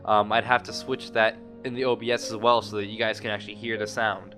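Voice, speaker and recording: A man's voice: on the low side, level tone, brisk. Guy doing a casual let's play, rambles and rephrases a lot, music behind him. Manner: rambling, often loses his thread and rephrases. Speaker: gaming video host.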